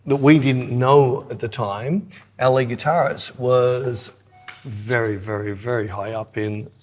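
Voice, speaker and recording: A man's voice: medium pitch, fairly even intonation, measured pace, darkish tone, faint music behind. Teasing middle-aged Australian man chatting. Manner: teasing, blunt. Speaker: podcast co-host. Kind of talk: casual banter and anecdotes.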